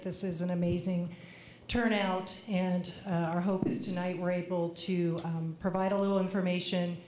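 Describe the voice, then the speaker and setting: A woman official giving opening remarks at a public meeting, reading from her notes and sounding a little nervous. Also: a little mellow. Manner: low-voiced, reads from notes, slightly nervous. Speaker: state agency official. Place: large public meeting hall. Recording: echoey meeting hall, faint noise.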